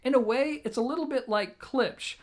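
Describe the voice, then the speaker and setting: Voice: sits high, moderate pace. A video host presenting an audio gear review, explaining things informally and directly to viewers. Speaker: product reviewer and show host.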